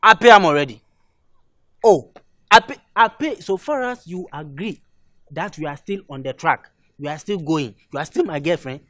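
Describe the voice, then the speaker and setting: A man's voice: on the high side, expressive, normal speed, dry recording, clean studio sound, natural voice. A man acting a desperate, blustering boyfriend in comic melodrama, with a West African accent and theatrical, repetitive pleading. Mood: eager, coaxing.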